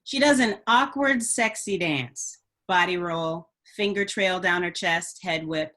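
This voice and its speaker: A woman's voice: fairly low pitch, measured pace, a bit bright. A woman reading stage directions as scripted narration for a screenplay table read.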